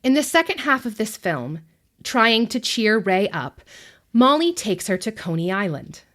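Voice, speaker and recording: A woman narrating a scripted film essay, with measured, deliberate phrasing and emphatic pauses. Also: mid-range voice, sing-song, fairly bright tone.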